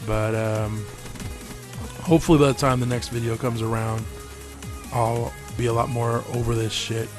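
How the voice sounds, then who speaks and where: A man's voice: mid-range voice, level tone, moderate pace, over music. A man hosting his gaming channel, chatting casually over gameplay. He is tired and losing his voice, and he rambles in a laid-back way and swears freely.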